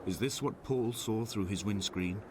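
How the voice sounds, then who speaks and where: An actor as a low-voiced, brooding island castaway. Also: male voice, background noise, close to an everyday voice. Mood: mournful, haunted. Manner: solemn, poetic, measured. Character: despairing, brooding male castaway narrating letters on an island. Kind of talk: literary scripted game narration, monologue. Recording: music loud under the voice. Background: loud game soundtrack music.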